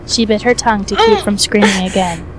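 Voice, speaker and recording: A woman's voice: medium pitch, sing-song, fairly quick, crowd noise. A woman reading scripted fan fiction as the narrator.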